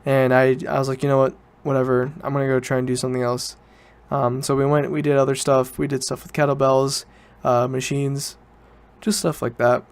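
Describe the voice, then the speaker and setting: A man's voice: medium pitch, flat delivery, brisk, clean tone. A young man, student age, talking casually and informally as a solo podcast host, rambling and correcting himself as he goes. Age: teens to young adult student.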